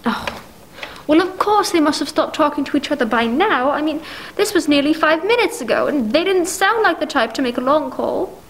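A woman's voice: sits high, animated, moderate pace, a little hiss or hum, poor audio. A woman acting an anxious, demanding, self-pitying bedridden wife in a 1940s-style radio play, stammering and indignant. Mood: flustered.

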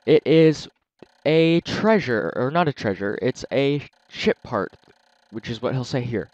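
A man hosting a let's play, chatting casually and explaining as he plays, his voice a little raspy and scratchy from a sore throat. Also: medium pitch, a little slow, a little mellow, no echo, muffled recording. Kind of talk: casual gameplay commentary.

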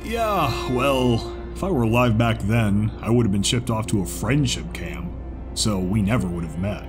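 A man playing a bashful, gentle zebra stallion in an audio drama, speaking hesitantly and haltingly. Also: on the low side, lively intonation, music in the background, close to an everyday voice. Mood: bashful, sincere.

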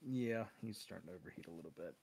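A woman with an exceptionally low voice chats casually as a livestream co-host. Her intonation is lively and moves up and down a lot. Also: fast talker, creaky, a crowd in the background, rough recording.

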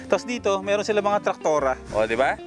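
A man's voice: sits high, big pitch swings, fast talker. Chatty man vlogging outdoors, music behind. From Aklan, Philippines. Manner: chatty, joking, laughs often. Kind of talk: casual vlog chat. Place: outdoors at a mango farm by a pond. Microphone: handheld camera at arm's length. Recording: background music under voice.

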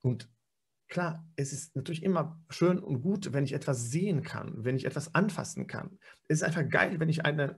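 A man in his forties teaches pronunciation in an online lecture, speaking into a webcam from a small room. His voice is gravelly and a little husky, sitting at a middle pitch. He explains emphatically and fills pauses with hesitations and restarts. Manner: explanatory, many fillers and self-corrections, emphatic. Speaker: pronunciation trainer. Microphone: webcam video call.

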